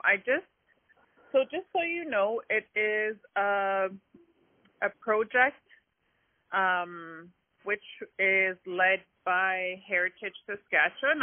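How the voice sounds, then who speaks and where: A woman interviewing someone by phone, friendly and informal with a lot of fillers. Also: mid-range voice. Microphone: telephone. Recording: tinny phone line.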